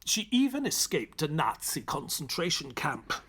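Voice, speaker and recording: An actor playing an earnest, bewildered doctor, polite and old-fashioned, in an audio drama. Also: male voice, fairly high pitch, sing-song, normal speed, fairly bright tone, slight background noise. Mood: narrating, sober. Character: earnest, bewildered British doctor, gentleman narrator of adventures. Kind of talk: audio drama acting and scripted narration.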